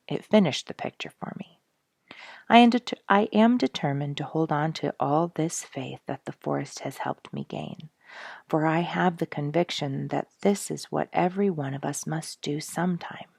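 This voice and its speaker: Woman reading as a low, reverent soldier writing home. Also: vocal fry, darkish tone, dry recording, studio quality, full character voice. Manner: earnest, reverent, wondering. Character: humble, reflective male soldier writing a heartfelt letter.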